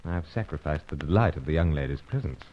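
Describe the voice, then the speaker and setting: Low-voiced, animated British agent, clipped and pipe-muffled; dull old radio drama recording. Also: male voice, fast. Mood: suave. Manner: clipped upper-class British, puffing on pipe. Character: suave British secret agent, pipe-smoking. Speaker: narrator and lead. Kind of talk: 1950s radio drama acting.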